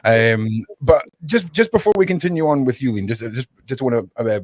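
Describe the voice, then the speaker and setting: A man's voice: medium pitch, expressive, normal speed, no room sound. A man casually hosting a streamed panel, loosely repeating himself as he steers the talk. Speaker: host.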